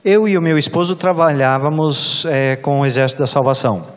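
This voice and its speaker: A woman's voice: sits low, a little fast. Brazilian woman interpreting in an echoey hall. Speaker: consecutive interpreter. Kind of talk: live interpreting of a church address. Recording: echoey hall with long reverb.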